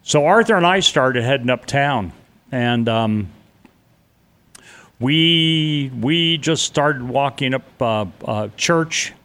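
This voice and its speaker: A man's voice: mid-range voice, lively intonation, slow, a bit bright. A middle-aged man telling a personal story in an interview, blunt and vivid, with casual swearing. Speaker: interview guest.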